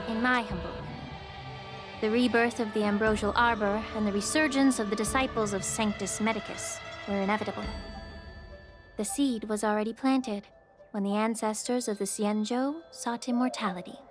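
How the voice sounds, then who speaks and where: Actress as game healer official, woman, high-pitched, composed, polite, wry, philosophical, clear music underneath. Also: natural voice. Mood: reflective, measured. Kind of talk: English video game voice acting.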